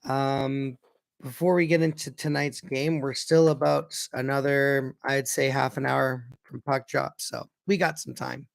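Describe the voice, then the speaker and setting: A hoarse man with a raspy voice chatting casually as a hockey fan livestreamer, often correcting himself as he previews a game. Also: medium pitch, steady tone, a little slow, no room sound. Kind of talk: casual pregame preview chat.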